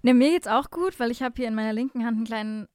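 A young woman co-hosting a podcast, introducing a guest and chatting in a relaxed, slangy way, thinking out loud. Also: a little high, fast talker, dry recording. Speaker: podcast co-host. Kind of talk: casual chat, introducing guest.

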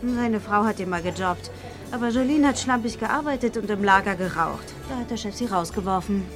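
An actress playing an indignant, grumbling supermarket worker in a German audio drama. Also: female voice, medium pitch, fairly quick, music in the background, natural voice.

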